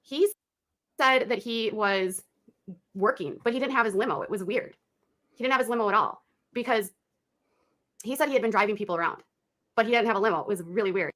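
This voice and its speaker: A woman's voice: mid-range voice, fairly quick, long pauses, fairly bright tone. A woman retelling a story in a casual, rambling way and repeating herself, heard in a clip played from a live stream.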